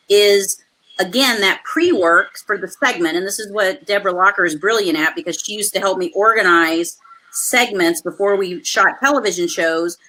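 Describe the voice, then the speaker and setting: Loud, bright-voiced woman host. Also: mid-range voice, rough recording. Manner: loud voice that carries; energetic, chatty coaching. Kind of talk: live webinar coaching. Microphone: video call.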